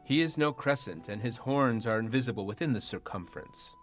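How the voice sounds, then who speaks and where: Young man as courtly duke for Shakespeare radio play; medium voice, measured, dryly amused commentary. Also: medium pitch, soft background music, lightly acted. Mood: wry amusement.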